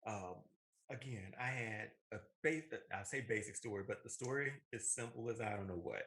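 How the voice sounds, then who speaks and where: Bright-voiced American man, enthusiastic and emphatic. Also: fairly low pitch, moderate pace. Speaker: co-host of a movie and video game show. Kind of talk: casual opinionated movie discussion.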